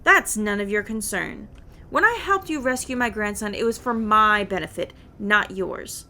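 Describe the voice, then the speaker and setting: A woman voicing a menacing, scheming old man in a video game, haughty and deliberate, over music. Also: medium pitch, expressive, gravelly, bright, forceful tone. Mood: cold, threatening. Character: menacing, scheming old male villain, a grandfather. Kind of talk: video game villain dialogue.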